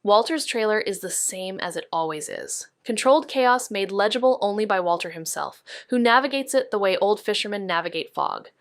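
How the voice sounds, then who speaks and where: A woman playing an awkward, dryly wry amateur sleuth in an audio drama, speaking in a halting, hedging way. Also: mid-range voice, animated, no room sound, studio quality, lightly acted. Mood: wry, reflective. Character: awkward young female amateur sleuth, narrating noir-style. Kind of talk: audio drama dialogue and noir narration.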